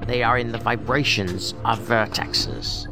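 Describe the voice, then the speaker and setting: A man playing a cryptic mystic leader. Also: on the low side. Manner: calm, cryptic, measured. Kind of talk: audio drama acting. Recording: music underneath.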